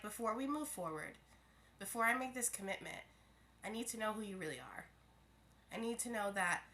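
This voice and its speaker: A woman's voice: mid-range voice, sharp tone, a little hiss or hum. A woman giving a casual tarot reading to her viewers, a little stuffy from a head cold, musing aloud and often restarting her phrases. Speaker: tarot reader.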